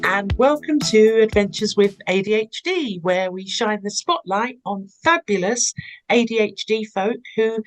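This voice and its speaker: A woman's voice: fairly high pitch, rough recording. A woman hosting a podcast, friendly and enthusiastic as she interviews her guest. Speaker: podcast host. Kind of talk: casual interview chat.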